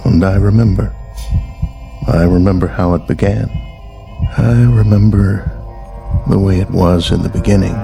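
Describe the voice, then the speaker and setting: An actor playing a solemn, haunted narrator looking back, speaking in a brooding, deliberate radio drama style. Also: male voice, deep voice, fairly even intonation, creaky, over music.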